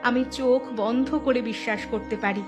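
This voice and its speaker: A woman's voice: a little high, fairly even intonation, a little fast, music in the background, natural voice. A woman voicing an anxious, plaintive village wife in an emotive moral cartoon. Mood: worried, reproachful. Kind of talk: Bengali moral cartoon dialogue.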